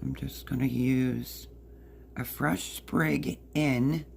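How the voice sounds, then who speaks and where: A woman with a hoarse voice casually explaining a recipe step by step in her home kitchen. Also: a bass voice, fairly even intonation, drawn-out words, darkish tone, a little hiss or hum. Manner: chatty, explains step by step while working. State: hoarse, partly lost voice. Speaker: home cook. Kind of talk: casual cooking demonstration.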